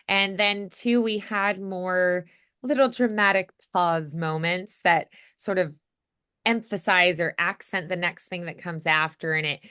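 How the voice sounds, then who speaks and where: A woman's voice: on the low side, pushed and bright, clean tone. A woman giving casual, effusive and encouraging feedback as a voice acting coach.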